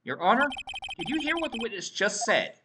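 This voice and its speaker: A woman voicing an earnest young male defense lawyer in a video game, emphatic and argumentative, over loud game music. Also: medium pitch, very animated, a little fast, recorded from a distance, very noisy, pitched above the performer's own voice, close to an everyday voice. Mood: assertive.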